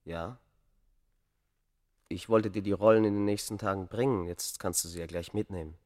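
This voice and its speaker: A male actor as an evasive scholar of ancient Egypt in a radio play. The voice is fairly low, the diction formal, and the manner guarded and tense, as if holding something back. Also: steady tone, moderate pace, a little mellow, lightly acted.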